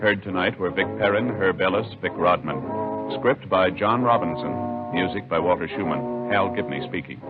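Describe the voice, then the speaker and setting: A man's voice: low-pitched, normal speed. An American man announcing in a formal, solemn, official 1950s radio narration style. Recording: muffled old 1950s radio recording over music. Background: loud music.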